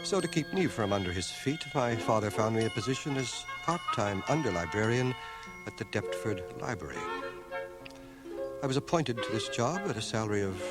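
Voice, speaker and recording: A man's voice: fairly low pitch, lively intonation, lightly acted, poor audio. An actor playing a grown man recalling his boyhood with wry humor, as scripted radio drama narration. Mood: wry, reminiscent. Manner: wry, measured storytelling. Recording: old radio cassette with music underneath. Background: music.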